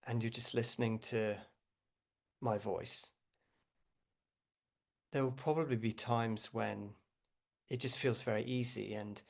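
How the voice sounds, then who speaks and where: A man leading a guided meditation as a teacher, speaking gently and without hurry, with frequent pauses. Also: medium pitch, gravelly.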